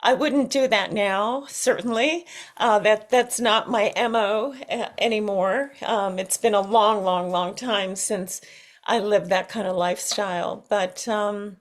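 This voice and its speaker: A middle-aged American woman reflecting in an interview, thoughtful and often halting as she searches for words. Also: mid-range voice, steady tone. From United States. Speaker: interview guest, singer.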